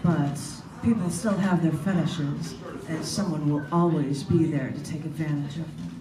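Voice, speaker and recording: A woman giving a live reading of her own prose and poetry in a deliberate, measured way with dramatic pauses, recorded on a distant camera mic in a bookstore. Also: deep voice, fairly even intonation, reverberant, a crowd in the background.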